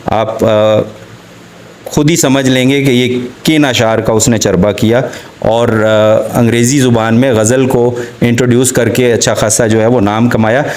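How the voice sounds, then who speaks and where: A man with a Pakistani accent giving an academic literature lecture, haltingly, reading out lines of poetry slowly and explaining them. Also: mid-range voice, slight background noise. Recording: roomy, echoing sound.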